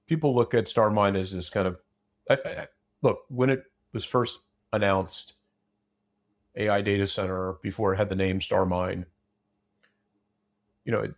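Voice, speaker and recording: A man's voice: fairly low pitch, creaky. A man in a casual unscripted discussion, opinionated and blunt, rambling through broken-off sentences.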